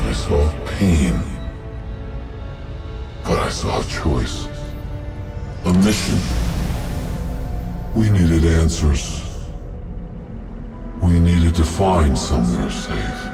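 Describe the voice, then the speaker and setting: A man playing a brooding artificial intelligence in a game cutscene, in a solemn, measured monologue over music. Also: very deep voice, heavily acted. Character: brooding male artificial intelligence born from human minds. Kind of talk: dramatic video game cutscene acting. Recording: loud music under the voice.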